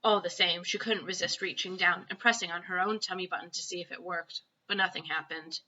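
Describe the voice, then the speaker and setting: A woman's voice: on the low side, fairly even intonation, brisk, sharp tone, muffled recording. A woman, a primary school teacher, reading a children's story aloud expressively.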